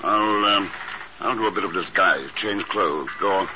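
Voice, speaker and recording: Low, unflappable agent. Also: male voice, measured pace. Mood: calm resolve. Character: calm, resolute male secret agent, unflappable. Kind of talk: 1950s radio drama acting. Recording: noisy, muffled old radio recording.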